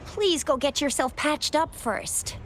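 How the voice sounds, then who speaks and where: An actress playing a brisk, helpful young fighter in a video game. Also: female voice, sits high, slight background noise. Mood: concerned, brisk. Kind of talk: video game character dialogue.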